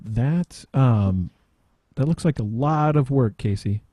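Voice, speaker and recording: A man's voice: mid-range voice, lively intonation, slow pace, mellow, no echo, clean studio sound. A man chatting casually on a podcast, hesitant and searching for his words. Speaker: podcast panelist. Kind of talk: casual chat.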